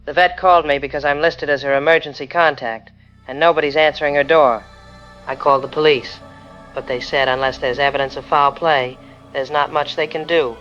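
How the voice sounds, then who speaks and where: A man's voice: a little high, fairly even intonation, fairly quick, a bit bright, muffled recording, faint music behind, lightly acted. A man playing a worried, polite caller to a radio phone-in. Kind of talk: radio drama phone-in acting.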